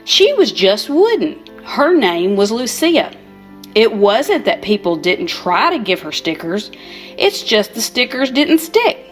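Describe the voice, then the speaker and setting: Brief: older female storyteller, gentle unhurried read-aloud, soft music underneath. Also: a little high, big pitch swings. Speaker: storybook reader.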